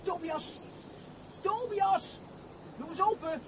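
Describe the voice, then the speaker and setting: A man's voice: very high voice, slow pace, background noise. An actor playing a naive, chatty young man, a steward's simple son, in a radio play. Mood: nervous.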